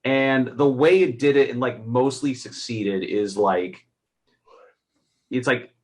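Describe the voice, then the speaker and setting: A man in a casual, unscripted movie review chat, speaking in an animated, exasperated and emphatic way. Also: medium pitch, slow pace. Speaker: movie reviewer, guest co-host.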